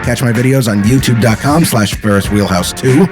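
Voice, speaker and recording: A man's voice: medium pitch, normal speed, over music. A man co-hosting a podcast, giving casual comedic commentary in a sardonic, critical manner. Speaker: podcast co-host.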